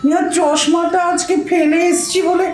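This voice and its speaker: A woman's voice: extremely high pitch, little pitch movement, measured pace, a little mellow, roomy sound, faint music behind. A woman playing a bossy, boastful older housewife in a comic audio drama, scolding and wheedling with self-important chatter. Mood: indignant.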